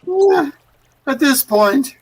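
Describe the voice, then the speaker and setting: An actor playing a gloating, urbane murderer who speaks with mocking politeness, in 1940s radio drama style. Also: male voice, very high voice, lively intonation, very slow, a little hiss or hum, exaggerated voice. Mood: smug, menacing. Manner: mocking politeness with frequent chuckles. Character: gloating, urbane male murderer holding captives at gunpoint.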